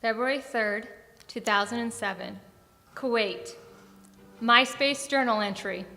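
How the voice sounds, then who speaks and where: A young woman, a military veteran, reading her own scripted personal story on stage in a measured, deliberate way. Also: fairly high pitch, pushed and bright. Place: theatre stage at an arts centre. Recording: long hall reverb.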